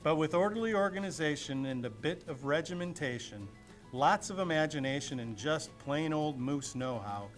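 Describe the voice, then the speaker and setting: Playful man with a high-pitched reading voice. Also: expressive, moderate pace. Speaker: storybook reader. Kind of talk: expressive children's storybook reading. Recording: music playing behind the voice.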